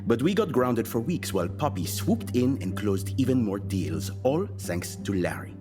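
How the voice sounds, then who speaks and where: A man's voice: mid-range voice, big pitch swings, moderate pace, natural voice. An actor playing a guarded, bitter salesman in an audio drama, speaking in a pointed, wry and insinuating way. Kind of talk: scripted audio drama acting. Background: music.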